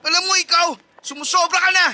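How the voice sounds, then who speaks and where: A man's voice: very high-pitched, steady tone, fast, bright, forceful tone, full character voice. A man playing a manipulative, womanizing young boyfriend in a melodramatic radio drama, whining, defensive and accusatory. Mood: indignant, pleading.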